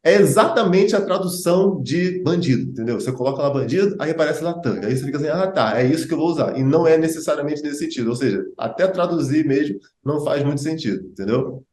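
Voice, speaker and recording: Brazilian male host-teacher, quick and talkative, slightly high voice, roomy sound. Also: expressive, darkish tone. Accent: Brazilian Portuguese. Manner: chatty, full of fillers and asides. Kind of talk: casual explanatory chat.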